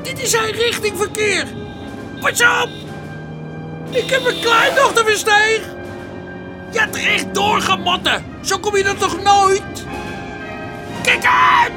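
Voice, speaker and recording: An actor playing an eager, cheeky police sergeant in a radio drama, excitable and informal. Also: male voice, very high voice, takes it slowly, pauses often, music in the background, heavily acted. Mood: panicked.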